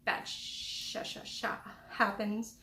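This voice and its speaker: A woman's voice: slow, a little hiss or hum. A young woman chatting casually about books she read, rambling and self-deprecating, talking into a headphone mic at home. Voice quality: small, soft voice. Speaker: book vlogger. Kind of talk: casual book review chat. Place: home room. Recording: echoey room, cheap mic.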